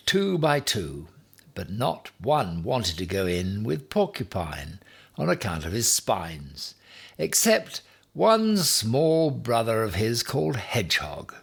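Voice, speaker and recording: Slow, husky man reading a storybook. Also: low voice. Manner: deliberate, with dramatic emphasis. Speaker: story reader. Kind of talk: expressive children's storybook narration.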